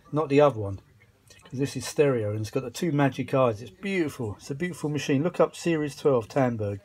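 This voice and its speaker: British bloke with a husky voice chatting through a repair on his phone, rambly, muttering and grumbling a bit. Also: medium pitch, darkish tone. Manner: colloquial, muttering asides and mild grumbling. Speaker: hobbyist filming his repair of vintage audio gear. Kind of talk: casual rambling repair commentary to camera. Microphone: handheld phone filming the work.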